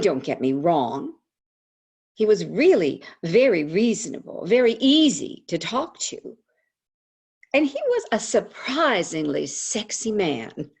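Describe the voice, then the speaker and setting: An actress plays a celebrated advice columnist, a frank and wryly sorrowful woman confiding in a stage monologue. Her delivery is slow, with dramatic pauses and a very lively pitch. The voice is husky. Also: heavily acted. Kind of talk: theatrical monologue, staged reading. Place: indoors, remote video call. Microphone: video call microphone.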